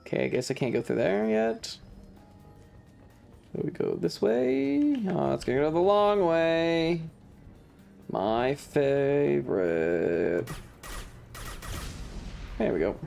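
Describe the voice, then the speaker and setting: Streamer rambling and swearing thru a game, kinda gravelly, pitch jumps around a lot. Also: big pitch swings, takes it slowly, pauses often, darkish tone, faint music behind. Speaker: streamer playing a video game. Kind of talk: casual live game commentary.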